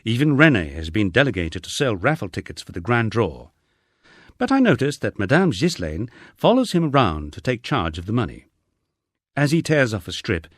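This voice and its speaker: A man narrating a first-person memoir as an audiobook, reading in a measured, expressive storytelling manner. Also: fairly low pitch, no echo, clean studio sound.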